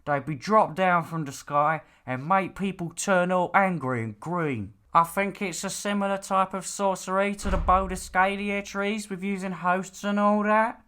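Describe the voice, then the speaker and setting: A man's voice: sits high, a little slow, hardly any pauses, pushed and bright, smooth, voice pushed up. A man voicing a stern, exasperated, lecturing father in a fantasy audiobook. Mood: matter-of-fact. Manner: lecturing, bossy. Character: stern, nagging, exasperated father of a demon slayer. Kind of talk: audiobook character dialogue.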